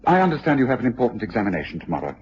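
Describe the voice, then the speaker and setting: An English actor playing a self-assured, courteous, authoritative detective in a vintage radio drama. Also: male voice, medium pitch, wildly swooping pitch, rapid-fire, a little mellow. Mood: polite. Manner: crisp, polite, authoritative. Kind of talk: 1950s BBC radio drama acting. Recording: dull, noisy vintage radio recording.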